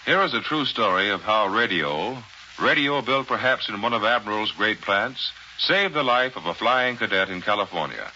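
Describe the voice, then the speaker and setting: American male, 1940s radio commercial announcer: low, very bright and punchy, dramatic, polished, on a dull vintage broadcast recording. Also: animated, moderate pace, slight background noise. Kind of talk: 1940s radio advertising narration.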